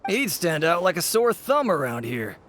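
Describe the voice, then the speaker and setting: A man's voice: fairly high pitch, sing-song, normal speed, fairly bright tone, a little hiss or hum. An actor playing a mercenary henchman in a video game who speaks in a flippant, offhand way. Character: mercenary henchman who wants his pay.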